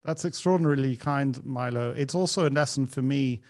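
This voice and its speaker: A man's voice: medium pitch, fairly quick, a little mellow. A man hosting an interview, introducing and questioning his guest in a friendly, gracious way. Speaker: host. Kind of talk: casual interview conversation.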